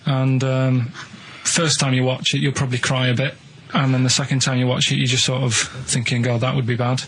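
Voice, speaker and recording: An English man talks casually on a radio show with some noise behind him. His pitch is middling and his delivery halting and earnest, drifting through stories in plain colloquial words. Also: level tone, a bit bright, low-quality recording. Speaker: radio show co-host telling odd stories. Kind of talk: rambling casual chat. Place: radio studio.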